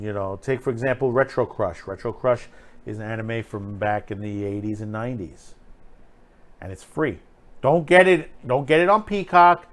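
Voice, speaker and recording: A man hosting an online film show, giving unscripted, opinionated commentary in a halting, rambling way with emphatic repeated phrases. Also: fairly low pitch.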